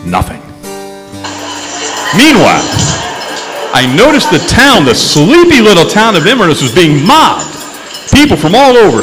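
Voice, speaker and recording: A man's voice: high voice, exaggerated voice. An actor playing a cool, sceptical investigator who narrates his case in an old-time radio detective drama on stage. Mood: brisk, matter-of-fact. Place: stage in a large reverberant room. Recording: live stage hall, long reverb, music behind.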